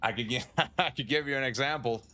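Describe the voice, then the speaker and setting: Bright-voiced man rambling on stream. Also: mid-range voice, a little fast. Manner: rambling, swears, chuckles often. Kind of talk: casual livestream chat.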